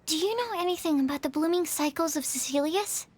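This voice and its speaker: A woman voicing a shy, kind young researcher in a video game, speaking in a gentle, earnest way. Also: high-pitched, a little fast, lightly acted. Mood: encouraging.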